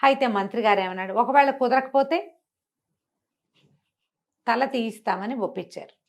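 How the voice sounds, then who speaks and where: A middle-aged woman telling a moral story in an interview, animated and acting out the characters' lines with a changing voice. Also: fairly high pitch, normal speed. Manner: expressive, acts out the characters' lines with shifting voice. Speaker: storyteller guest.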